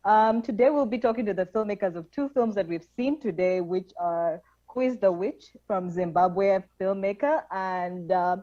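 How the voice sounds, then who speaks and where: A woman moderating an online film festival Q&A, friendly and enthusiastic over a video call. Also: mid-range voice. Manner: friendly, enthusiastic, quick laughs. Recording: dull lo-fi call audio.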